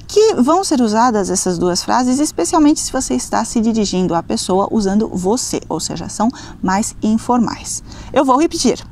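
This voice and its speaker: A woman in her thirties teaching a beginners' language lesson to camera, enunciating phrases deliberately. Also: fairly high pitch, darkish tone, slight background noise. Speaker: language teacher and channel host. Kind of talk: instructional talk to camera for beginners. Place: outdoors on a coast by the sea.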